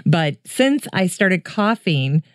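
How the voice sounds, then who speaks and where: A woman hosting a gardening podcast, chatting in a friendly, welcoming way into a headset, her voice a little deeper after a coughing fit. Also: sing-song, slow pace, no room sound, studio quality. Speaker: podcast host. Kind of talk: casual solo podcast chat.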